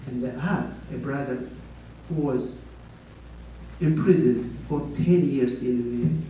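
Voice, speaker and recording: A man's voice: mid-range voice, takes it slowly, dark tone. A man with an Ethiopian accent giving an earnest, rallying public speech, repeating points for stress. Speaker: speaker at a community meeting. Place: large echoey meeting hall. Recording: echoey hall, mic far off, faint hiss.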